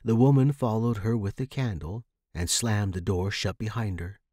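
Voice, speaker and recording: A man's voice: on the low side, normal speed, warm tone, no echo, clean studio sound. A man reading scripted horror fiction as the narrator.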